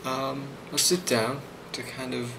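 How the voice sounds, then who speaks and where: A man speaking softly in an ASMR role play as a boss, hesitant and reassuring, with frequent pauses. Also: medium pitch, level tone, noisy background. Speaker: ASMR role-player playing a boss giving a review.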